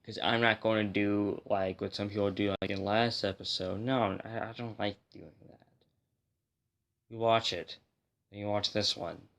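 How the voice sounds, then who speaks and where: Male video host, lower register, slightly hoarse from a cold, informal and rambling explainer delivery. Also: fairly even intonation, normal speed, fairly bright tone. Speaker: host of a music history video series. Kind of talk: casual explainer talk to viewers.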